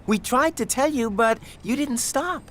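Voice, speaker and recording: A woman's voice: high voice, unhurried, fairly bright tone, a little hiss or hum. A woman voicing a concerned, helpful male steam engine in a children's cartoon, with an earnest manner. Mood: gentle advice. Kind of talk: children's cartoon character acting.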